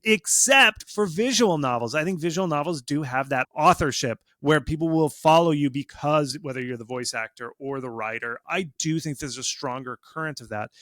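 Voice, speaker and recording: A man giving casual, animated explanations as an expert guest in an interview, speaking informally and with emphasis. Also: fairly high pitch, normal speed, few pauses, no room sound, clean studio sound. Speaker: video game marketing expert, interview guest.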